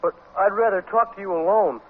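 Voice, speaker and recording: An actor playing a slow-witted, earnest teenage boy athlete with plodding, ungrammatical speech in a 1950s radio sitcom. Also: high voice, natural voice. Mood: earnest, bashful. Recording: tinny, noisy 1950s radio recording.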